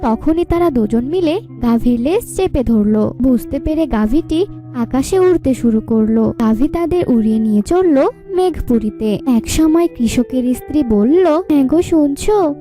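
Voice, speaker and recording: Woman, scripted children's story narration, high-pitched, mellow tone. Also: expressive, measured pace, faint music behind. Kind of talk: scripted fairy-tale narration.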